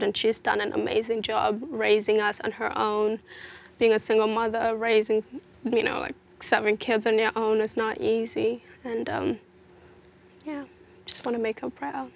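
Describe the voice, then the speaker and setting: A woman's voice: fairly high pitch, little pitch movement, a little slow, creaky. A young woman from South Sudan, a fashion model, answering interview questions in an earnest, reflective way, often adding "you know". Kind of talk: casual personal interview.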